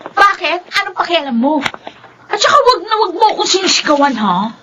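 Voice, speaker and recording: A very high-pitched, spoiled rich girl in a radio drama. She whines and orders people around with lively swoops in pitch. The track is clearly noisy. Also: fairly quick, exaggerated voice. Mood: defiant. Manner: whiny, demanding. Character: spoiled, whiny, bossy young rich girl.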